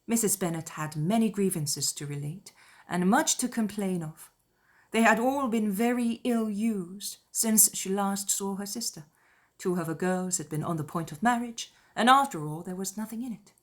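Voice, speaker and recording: A woman reading scripted literary narration as an audiobook narrator, in a measured, formal manner. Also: medium pitch.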